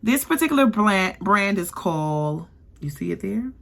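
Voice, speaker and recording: A woman's voice: medium pitch, expressive, normal speed, gravelly. A young woman from the East Coast giving a chatty, sassy perfume review, often checking in with "okay?". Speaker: beauty vlogger.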